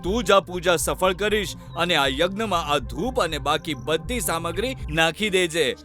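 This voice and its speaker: A man playing a scheming fake guru in a children's cartoon, with drawn-out phrases and feigned piety. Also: a little high, expressive, sharp tone, soft background music. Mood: falsely pious. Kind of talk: Gujarati children's cartoon voice acting.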